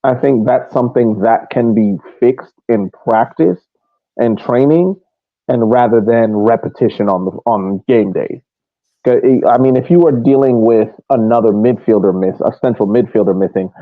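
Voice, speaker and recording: A man casually breaking down soccer as a podcast co-host, explaining his points in a measured way. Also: fairly low pitch, no room sound. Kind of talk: casual sports analysis. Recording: muffled narrow phone-like line.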